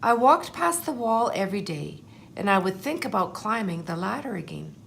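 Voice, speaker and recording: A woman voicing a humble, anxious but determined egg telling his life story in a storybook, in an earnest, measured way. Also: medium pitch, very animated, gravelly, a little hiss or hum. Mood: wistful, afraid. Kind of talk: storybook first-person narration.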